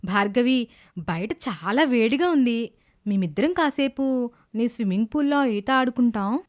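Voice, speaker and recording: A woman's voice: on the high side, animated, normal speed, lightly acted. A woman voicing a kind, hardworking young female coconut seller, earnest and plain-spoken, in a children's cartoon tale. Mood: polite. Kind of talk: children's animated moral tale dubbing.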